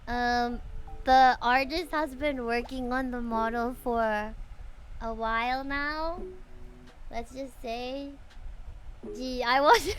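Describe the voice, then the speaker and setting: A woman's voice: high-pitched, a bit bright, a little hiss or hum. A young woman from the Philippines, streaming live and chatting casually with her viewers in a bubbly, giggly way.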